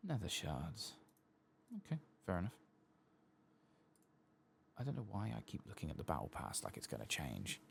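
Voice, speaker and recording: A man quietly talking himself through a late-night game stream for ASMR listeners, hushed and casual, his low voice crackling with fry over faint background noise. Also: huge pitch swings, moderate pace, long pauses. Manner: hushed, casual, thinking aloud. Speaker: gaming video host. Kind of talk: soft-spoken ASMR game commentary.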